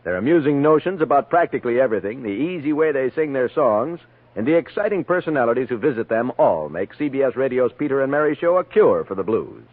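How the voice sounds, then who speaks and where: Animated American radio announcer, vintage sound. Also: male voice, medium pitch, fast, narrow, tinny audio. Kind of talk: 1950s radio continuity and promo reading. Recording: roomy, band-limited vintage radio broadcast.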